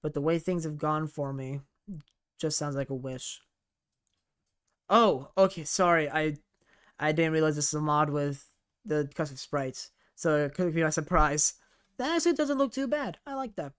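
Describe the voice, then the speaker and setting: A man's voice: on the high side, moderate pace, pauses often, a bit bright, clean tone. A man playing a visual novel, reading the game's text aloud and breaking off for chatty asides, often correcting himself mid-sentence. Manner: chatty, self-correcting reader, laughs at himself. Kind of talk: let's play, reading game text aloud with casual commentary.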